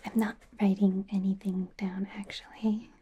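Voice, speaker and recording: A woman playing an awkward, gentle, rambling werewolf doctor in a whispered ASMR medical roleplay, flustered and often correcting herself. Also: medium pitch, flat delivery, moderate pace. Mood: focused, soft. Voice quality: breathy whisper. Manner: flustered, self-correcting, giggly, over-explaining.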